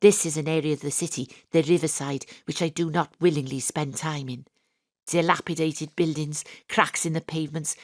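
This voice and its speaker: An actress playing a wry, deadpan, sardonic female private detective in a noir audio drama. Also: low-pitched, dry recording, clean studio sound. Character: hard-boiled wisecracking female private detective, sardonic and impatient. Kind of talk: first-person noir narration in an audio drama.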